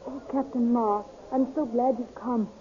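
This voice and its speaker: An actress playing an eager young heroine in a 1930s radio serial, breathless and pleading. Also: female voice, fairly high pitch, steady tone, measured pace, natural voice. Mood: anxious. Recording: dull, lo-fi 1930s radio transcription with hiss.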